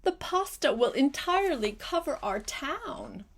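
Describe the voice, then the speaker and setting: Woman voicing excitable villagers, high-pitched and urgent. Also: measured pace, exaggerated voice. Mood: panicked. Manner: urgent exclaiming. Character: alarmed townspeople and mayor, excitable villagers.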